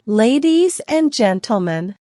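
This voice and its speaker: A woman reading a scripted self-introduction slowly and clearly for learners to repeat. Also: fairly high pitch, very animated, dark tone, no room sound, studio quality. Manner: clear, deliberate, evenly paced delivery. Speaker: English teacher reading a model speech. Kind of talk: slow scripted reading for repetition practice.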